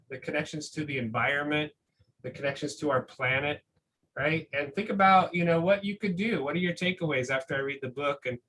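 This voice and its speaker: A man's voice: fairly high pitch, sharp tone, rough recording. A man speaking as an educator, casually explaining things and often checking in with the listener. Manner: conversational, often seeking agreement with tag questions. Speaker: science educator, guest reader.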